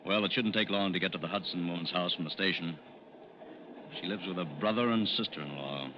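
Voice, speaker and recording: A man's voice: deep voice, a little fast, natural voice. A man acting a businesslike, concerned FBI agent in a 1940s radio drama. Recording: muffled old radio, loud train noise.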